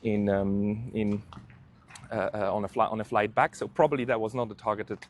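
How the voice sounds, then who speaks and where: A man's voice: on the low side, steady tone, normal speed, slight background noise. A man giving off-the-cuff political commentary, hesitant and repeating himself as he searches for words.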